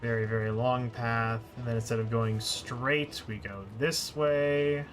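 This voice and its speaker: Male game streamer, mid-pitched voice, live commentary, thinking aloud, clear game music behind. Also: fairly even intonation, unhurried. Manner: thinks aloud, exclaims in frustration. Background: video game music.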